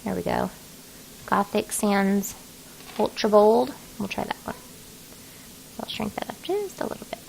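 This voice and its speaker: A woman narrating an informal software tutorial, talking casually and thinking aloud as she works. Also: mid-range voice, animated, a little slow, gravelly, long pauses, slight background noise, low-quality recording. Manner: casual, folksy, thinking aloud while working. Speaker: craft design software tutorial host.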